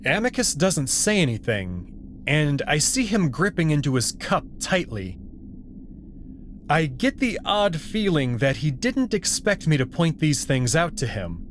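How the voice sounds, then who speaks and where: A man reading a visual novel aloud as its narrator, in an expressive storytelling style. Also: a little high, slight background noise. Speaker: narrator and voice performer.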